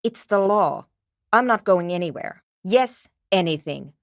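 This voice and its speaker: Game programmer: female, lowish pitch, brusque and world-weary, dry studio sound. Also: expressive, measured pace, no room sound. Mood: stubborn, defensive. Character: curt, skeptical, world-weary woman programmer absorbed in research. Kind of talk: video game character dialogue.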